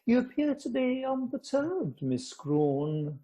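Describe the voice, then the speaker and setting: Man as upper-class house guest, radio play, animated pitch, arch, wordy, drily mischievous. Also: slow pace, exaggerated voice. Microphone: video call.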